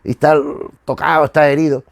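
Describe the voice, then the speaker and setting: A man's voice: a little high, flat delivery, fast talker, gravelly, no room sound, studio quality. A middle-aged man with a Spanish accent from Spain giving casual commentary in a digressive way, often repeating and rephrasing himself as he goes. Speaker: host of a retro television review.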